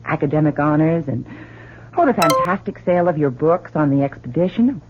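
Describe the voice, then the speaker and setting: A woman's voice: deep voice, animated, brisk. An actress playing a tender, determined wife and mother in an old-time radio drama, earnest and emotive. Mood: affectionate, admiring. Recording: noisy, phone-like old radio recording.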